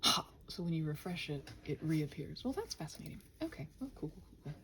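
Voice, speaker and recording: A woman rambling casually to listeners as she works, muttering and thinking aloud in a self-deprecating way, her soft voice often dropping near a whisper. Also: low-pitched, big pitch swings, brisk, a little hiss or hum. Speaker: audio roleplay and ASMR creator.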